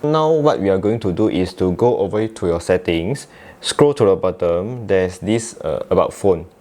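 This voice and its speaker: Malaysian guy with a low voice walking thru software steps, casual how-to style. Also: lively intonation, moderate pace. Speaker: tech tutorial presenter. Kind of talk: casual step-by-step software tutorial.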